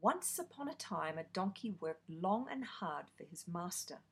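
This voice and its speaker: A woman reading a children's fairy tale aloud, slow and expressive. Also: fairly low pitch, husky. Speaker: storyteller.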